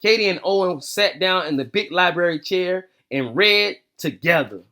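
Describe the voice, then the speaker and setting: A man's voice: sits high, lively intonation, moderate pace, sharp tone, echoey room, distant mic. An American man hosting bedtime stories for children, reading aloud and chatting in casual, slangy speech. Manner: informal slangy talk. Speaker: children's bedtime story host and read-aloud reader. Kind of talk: casual storytelling and chat aimed at kids.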